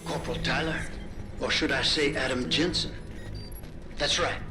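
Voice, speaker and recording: A man acts a ruthless game villain, a military commander with a mid-pitched voice. He is controlled, self-assured and taunting, in clipped phrases with music clearly behind him. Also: fairly even intonation, moderate pace, natural voice. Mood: cold menace. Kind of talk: video game dramatic acting.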